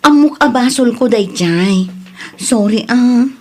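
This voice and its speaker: Higher-pitched Ilocano woman. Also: animated, takes it slowly, darkish tone. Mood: apologetic. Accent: Filipino, Ilocano. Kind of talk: radio comedy drama acting.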